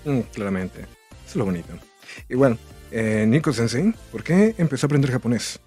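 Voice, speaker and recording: A man's voice: mid-range voice, sing-song, moderate pace. A man in a mid-range voice guides the chat, putting questions to each guest in an upbeat, encouraging way. Faint music plays behind him. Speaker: co-host. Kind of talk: casual group chat.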